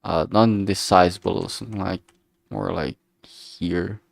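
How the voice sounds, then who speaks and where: A man streaming as he works, thinking aloud casually in a halting way and muttering to himself. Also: deep voice, fairly even intonation, gravelly, dry recording. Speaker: streamer making a visual novel. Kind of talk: casual think-aloud art livestream commentary.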